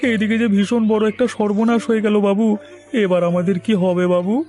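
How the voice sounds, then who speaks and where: Cartoon role: man playing a teary, timid servant, high-voiced, begging, faint music bed. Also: fairly even intonation, brisk, warm tone, natural voice. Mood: pleading. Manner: pleading, whimpering, addressing master as babu. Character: timid tearful male servant, middle-aged. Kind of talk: Bengali cartoon voice acting.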